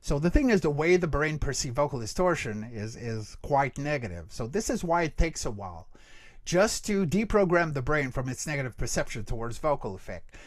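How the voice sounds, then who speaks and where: Higher-voiced man explaining technique, close dry sound. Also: big pitch swings, a little fast, no room sound. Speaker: creature voice actor, interview guest. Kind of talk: conversational explanation of vocal technique.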